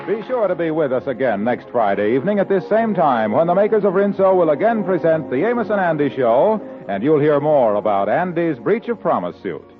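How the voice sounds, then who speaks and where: A man announcing and reading sponsor messages on a 1940s radio comedy show, in an upbeat sales pitch. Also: very animated, fairly quick. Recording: tinny old radio broadcast, faint music.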